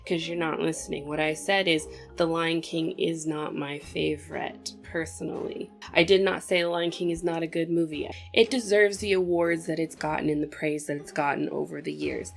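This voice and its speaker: A woman's voice: low voice, fairly even intonation, moderate pace, vocal fry, few pauses, soft background music. A young woman hosting a casual movie commentary, chatty and opinionated, talking straight to viewers while sniffly from a cold.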